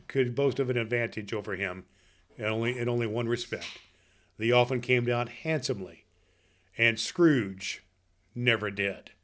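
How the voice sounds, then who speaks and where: A man playing a jolly, grandfatherly old Santa Claus, hosting a podcast for children in a folksy, halting manner. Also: medium pitch, fairly bright tone, lightly acted. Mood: storytelling. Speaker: podcast host. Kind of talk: story reading and chat to children.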